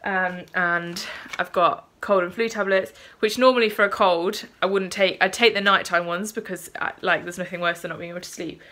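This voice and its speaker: A woman's voice: lowish voice, fairly even intonation, normal speed, hardly any pauses, sharp tone. Chatty British woman with a cold. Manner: chatty, lots of ums. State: congested with a cold, a bit out of breath. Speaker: vlogger. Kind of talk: casual vlog chat. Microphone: phone camera. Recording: phone recording with light background noise.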